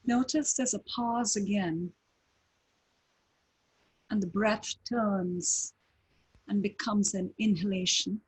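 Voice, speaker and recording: A woman guiding a breathing meditation, speaking calmly with long pauses between short phrases. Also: medium pitch, husky, rough recording. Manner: slow, calm, with long pauses. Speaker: meditation teacher.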